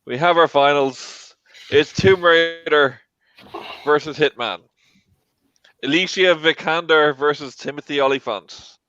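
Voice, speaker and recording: Clear, smooth male host, slightly muffled. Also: on the high side, measured pace, long pauses, sharp tone, dry recording. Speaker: host of a movie debate show. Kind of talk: casual panel talk.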